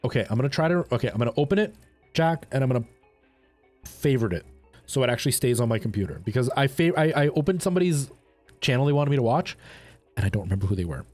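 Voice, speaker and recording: A man hosting a livestream, chatting casually and colloquially with his viewers in a rambling, animated way. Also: medium pitch, quick pace, faint music behind. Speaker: live streamer and host.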